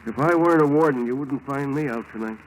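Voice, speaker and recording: A man's voice: mid-range voice, quick pace, lightly acted. An actor playing an ordinary neighborly American air-raid warden and father in a 1940s radio drama. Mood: neighborly chatting. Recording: noisy old 1940s radio recording.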